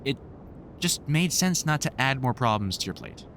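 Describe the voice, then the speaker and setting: A man's voice: mid-range voice, expressive, moderate pace, fairly bright tone, slight background noise, close to an everyday voice. A man playing a wry, grumpily fond vampire hunter in a conversational audio roleplay, teasing and swearing through rambling explanations. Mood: explaining, wry.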